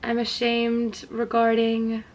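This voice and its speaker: Young woman vlogging, bit stuffy and sniffly, higher voice, open and self-questioning. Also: completely flat delivery, takes it slowly. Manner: candid, self-questioning, trailing off. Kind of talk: reflective casual vlog talk.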